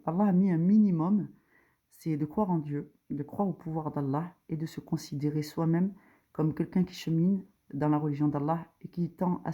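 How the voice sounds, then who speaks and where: A woman's voice: deep voice, dark tone, slightly rough. A woman giving an informal religious lesson, explaining step by step with frequent hesitations. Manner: hesitant, many fillers, rising emphasis on key points. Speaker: religious teacher. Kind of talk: informal tutorial explaining a diagram.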